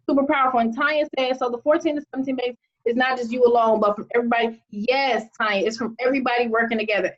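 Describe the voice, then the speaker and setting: A woman's voice: high voice, highs cut off. A woman hosting a video call, presenting with upbeat energy and checking in with "okay?" again and again. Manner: upbeat, repeats "okay?" often. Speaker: host of an online money-making call.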